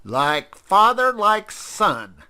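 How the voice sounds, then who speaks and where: A man's voice: sits high, sing-song, drawn-out words, pushed and bright, smooth, pitched above the performer's own voice, full character voice. A man voicing a grumpy, sarcastic old male owl with a grumbling manner. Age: older.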